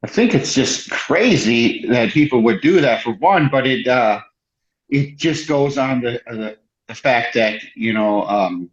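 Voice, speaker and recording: Male guest, mid pitch, hesitant and filler-heavy, dull lo-fi recording. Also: animated. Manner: halting, restarts a lot, many "you know" fillers. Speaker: podcast guest. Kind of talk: casual conversation. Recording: dull lo-fi sound.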